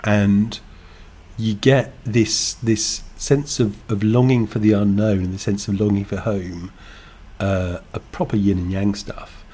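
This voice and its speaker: An English man co-hosting a podcast, talking thoughtfully in halting, restarting sentences. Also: on the low side, fairly even intonation, mellow, breathy edge. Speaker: podcast co-host, game designer. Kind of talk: casual chat.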